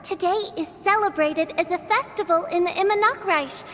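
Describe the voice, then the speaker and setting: A woman's voice: extremely high pitch, moderate pace, fairly bright tone, big-room sound, a little hiss or hum. A woman voicing a timid, dreamy young noble girl for a video game, with formal and hesitant speech. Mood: formal, hopeful.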